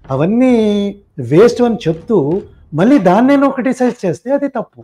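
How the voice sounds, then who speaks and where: A man's voice: very high voice, very animated, fairly quick, mellow. A man speaking as a podcast guest, explaining his opinions point by point in a didactic, conversational way.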